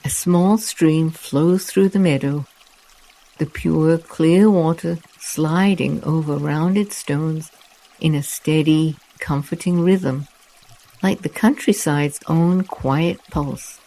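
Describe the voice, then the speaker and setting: This is a meditation guide with a warm, mellow voice who talks very slowly. The delivery is soft and soothing, with long pauses that leave room to breathe, as in guided relaxation narration. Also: medium pitch, rough recording.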